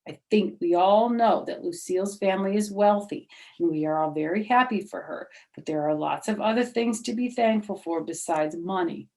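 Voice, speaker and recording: A stern male teacher from a storybook, played by a woman. He is exasperated with his class and lectures with firm, deliberate emphasis. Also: fairly low pitch, creaky, mellow.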